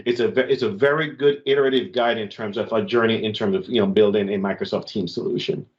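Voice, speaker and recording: A man's voice: lowish voice, fairly even intonation, quick pace, highs cut off. A man co-hosting an online developer community call, running through announcements in a conversational way with frequent fillers and restarts. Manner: conversational, warm thanks, many fillers and restarts.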